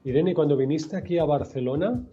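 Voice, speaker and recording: A man's voice: fairly high pitch, a little fast, muffled recording. A man from Spain hosting a casual interview for his students, encouraging and chatty with frequent fillers. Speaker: Spanish teacher, interview host.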